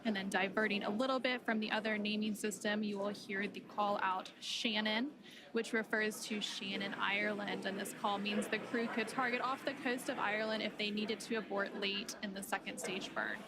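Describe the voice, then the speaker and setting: A woman giving measured, informative live commentary as a broadcast presenter, explaining each step as it happens. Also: medium pitch, fairly even intonation, background noise. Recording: music playing behind voice. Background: music.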